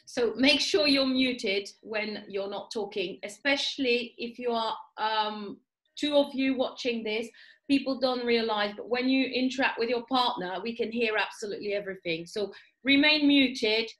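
A woman's voice: a little high, normal speed, a bit bright, low-quality recording. A woman hosting an online class, giving informal housekeeping instructions to participants. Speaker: class host.

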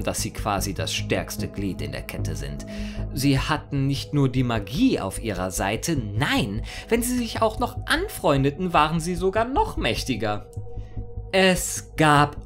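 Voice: mid-range voice, sing-song, a little slow, music in the background. A performer voicing an immortal, mischievous chaos creature in a theatrical fan audio monologue, mock-formal, sardonic and playfully rambling. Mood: mocking, explaining. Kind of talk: theatrical first-person character monologue in a fan audio story.

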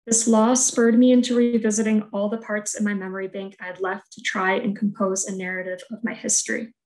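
A woman whose first language is Polish, reading a scripted artist talk about her work in a soft, measured, reflective way over earbuds on a video call. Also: medium pitch, vocal fry, low-quality recording. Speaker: art student presenting her thesis work. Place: room at home, indoors.

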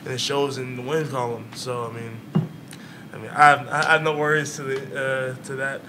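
Casting: young man, college player, flat delivery at a press table, noticeable room noise behind. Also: mid-range voice, normal speed. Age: young adult. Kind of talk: press conference answer. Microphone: table microphone. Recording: clear room ambience noise.

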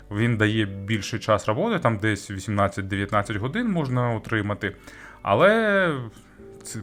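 A man giving a conversational, explanatory product review as host, often pausing mid-sentence to find his words. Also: lowish voice, fairly bright tone. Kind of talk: semi-scripted product review talk.

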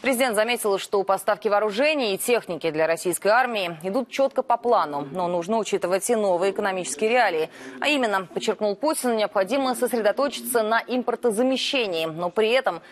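A middle-aged woman anchoring the news in a television studio, reading a crisp script. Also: mid-range voice, expressive, fast talker, few pauses.

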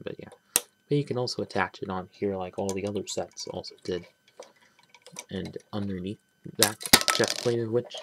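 A man giving a casual, rambling toy review, pausing and restarting often as he handles the pieces. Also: lowish voice, vocal fry, a little hiss or hum. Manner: rambling, halting, many restarts and trailing "but yeah". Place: small indoor room at a table. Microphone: camera close on hands, speaker off-frame.

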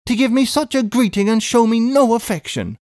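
Man voicing a high, plaintive, tearful Englishwoman. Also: lively intonation, fairly quick, darkish tone, no echo, studio quality. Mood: mournful, reproachful. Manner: plaintive, lamenting, exclamatory. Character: tearful, loving middle-aged Englishwoman, dramatically wounded sister. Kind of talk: audiobook character voice.

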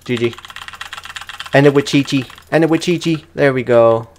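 A man's voice: medium pitch, moderate pace, darkish tone, a little hiss or hum. Young man doing slangy gaming commentary. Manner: slangy, informal. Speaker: gaming YouTuber. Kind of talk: casual let's play commentary.

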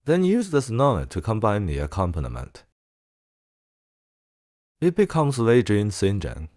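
A man presenting a software tutorial in a casual way. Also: fairly low pitch, wildly swooping pitch, measured pace, no echo, studio quality.

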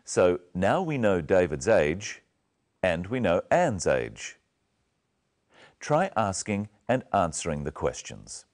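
A man's voice: deep voice, big pitch swings, slow pace. A man presenting a scripted English lesson, instructing learners in carefully enunciated phrases.

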